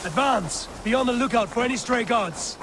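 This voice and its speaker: An actor playing a fierce, vengeful Norse war leader in a video game, commanding and solemn. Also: male voice, very high-pitched, normal speed, noisy background. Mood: rousing, fierce. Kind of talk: dramatic video game acting.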